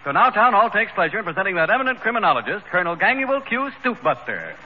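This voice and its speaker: A man's voice: high voice, very animated, fast talker, breathy edge. A man announcing a comedy program in a 1930s radio style. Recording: noisy, muffled 1930s radio recording.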